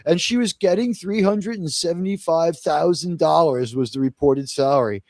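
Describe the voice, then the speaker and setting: A man's voice: a little high, normal speed, darkish tone, no room sound, clean studio sound. A man from Queens, New York hosting a live discussion show, blunt and opinionated, swearing freely. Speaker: show host. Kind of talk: casual live panel talk.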